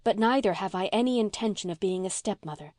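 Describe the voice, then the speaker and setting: A tender, sincere woman as an audiobook reader plays her, talking softly and frankly as if to a child she is fond of. Her pitch sits in the middle and the recording is dry and intimate. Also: quick pace, dry recording, natural voice. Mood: warm, sincere. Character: gentle, frank, affectionate young woman comforting a child. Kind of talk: audiobook character voice.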